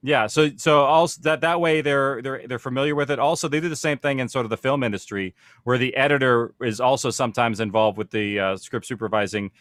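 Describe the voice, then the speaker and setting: Man, mid-pitched, informal panel host and explainer, very little room sound. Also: a little fast, hardly any pauses, a bit bright, no echo, clean studio sound. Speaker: panel host, audio production producer.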